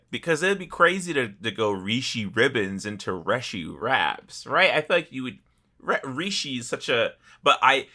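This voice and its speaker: Animated male podcast host, bright voice. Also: fairly low pitch. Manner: animated, exclamatory, swears freely. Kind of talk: casual comedic podcast chat.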